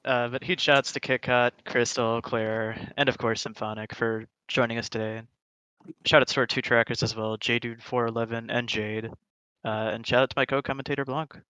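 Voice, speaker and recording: A man with a Wisconsin accent closing out a stream as commentator, easygoing and a little rambling. His voice is bright and forward, recorded dry and close with no room sound. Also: medium pitch, level tone, normal speed, clean tone, no room sound. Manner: easygoing, rambling, self-deprecating. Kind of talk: casual broadcast sign-off.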